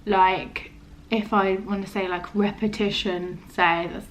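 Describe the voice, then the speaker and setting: A young woman with a stammer, chatting casually to camera in a halting, hesitant way, often trailing off. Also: medium pitch, level tone, a little hiss or hum, poor audio. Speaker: vlogger.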